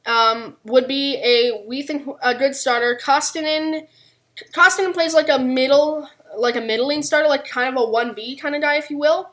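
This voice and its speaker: A woman with a fairly high voice co-hosts a sports podcast. She talks through her analysis conversationally, without a script. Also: normal speed, fairly bright tone. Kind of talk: unscripted hockey analysis discussion.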